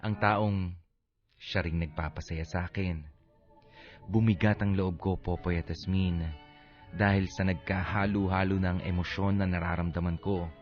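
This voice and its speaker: A man acting as a torn, heavy-hearted lover, narrating his story in first person for a radio drama in a reflective, measured way. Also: low voice, darkish tone, close to an everyday voice.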